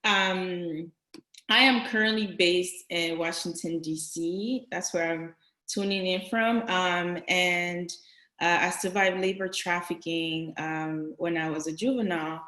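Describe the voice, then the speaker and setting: Woman from Togo, panelist, lowish pitch, very slow, hesitant, echoey video call. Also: steady tone, bright, forceful tone. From Togo, West Africa. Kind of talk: self-introduction on a panel. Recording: roomy online video call.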